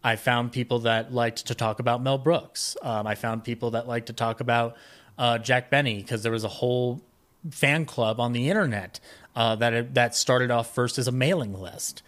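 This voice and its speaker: A man with a mid-pitched voice talks casually as an interview guest. He rambles through his recollections in a halting way, often doubling back and rephrasing in the middle of a sentence. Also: a bit bright. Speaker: podcast guest. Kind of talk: casual conversational interview, reminiscing.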